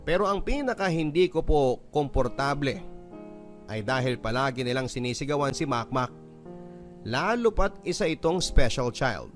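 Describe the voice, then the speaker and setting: Male radio-drama narrator, calm and steady, mid-range voice, clear music bed behind. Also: medium pitch. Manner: calm, even storytelling. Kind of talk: scripted letter narration. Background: clear background music.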